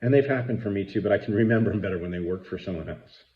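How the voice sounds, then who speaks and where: A man's voice: fairly low pitch, racing, muffled recording, slight background noise. A man, talking earnestly and rambling with many fillers in a casual spoken reflection. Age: 50s. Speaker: vlogger.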